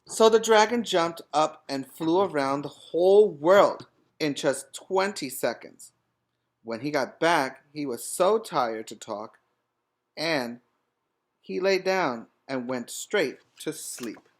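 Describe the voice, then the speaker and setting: A man's voice: fairly high pitch, expressive, slow pace. A man reading a storybook aloud as a preschool teacher, with simple, instructive asides to the children.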